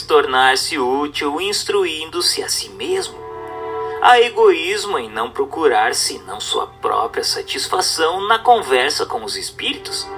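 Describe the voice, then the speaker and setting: A man's voice: on the high side, a bit bright. A Brazilian man reading a scripted spiritual text in a formal, instructive manner. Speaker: narrator. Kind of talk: scripted narration of a spiritist book.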